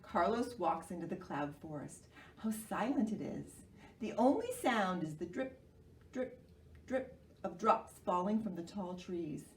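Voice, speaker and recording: An American woman presenting a children's storytime in an animated way, explaining simply and asking young children questions. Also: lowish voice, unhurried. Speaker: children's library storytime host. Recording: roomy, distant microphone, faint noise.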